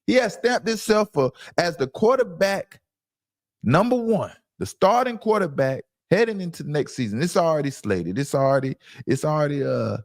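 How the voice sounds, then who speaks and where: Animated, insistent man talking sports. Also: a little high, moderate pace, no room sound, studio quality. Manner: emphatic, insistent, repeats phrases for stress. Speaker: sports commentator and show host. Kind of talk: opinionated sports reaction talk.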